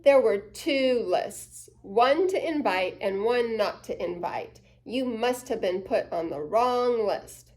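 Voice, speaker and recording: A woman's voice: a little high, animated, slow, hardly any pauses, darkish tone. A woman voicing a bossy, scornful young girl from a children's story, scolding in a know-it-all way.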